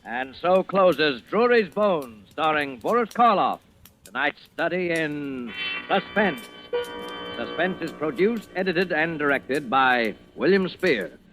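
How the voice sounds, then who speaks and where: A man works as a vintage radio announcer, reading in a scripted 1940s style. His voice sits fairly high and moves at a very slow pace over soft music. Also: expressive.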